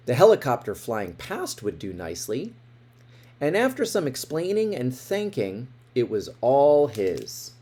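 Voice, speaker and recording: A man reading a children's picture book aloud in an expressive way, with dramatic emphasis. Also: medium pitch, unhurried, slightly rough. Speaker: storybook reader.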